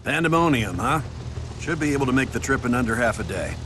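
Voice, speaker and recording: A man's voice: medium pitch, lively intonation, fast, background noise, lightly acted. A man playing a casual, gutsy airship helmsman in a video game, with colloquial delivery. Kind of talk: video game cutscene acting.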